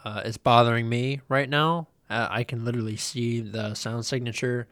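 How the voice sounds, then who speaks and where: Young male host, nasal head cold, rambling, middle-pitched, dry close recording. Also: normal speed, dry recording. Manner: rambling, relaxed, with many ums and uhs. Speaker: podcast host. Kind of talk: casual solo podcast chat.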